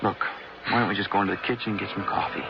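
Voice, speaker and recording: An actor playing a husband in a horror radio drama who tries to calm his frightened wife while sounding rattled and hesitant himself. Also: lowish voice, rapid-fire, gravelly. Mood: soothing, uneasy. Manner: reassuring but rattled, hesitant. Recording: dull, band-limited, noisy radio-style recording.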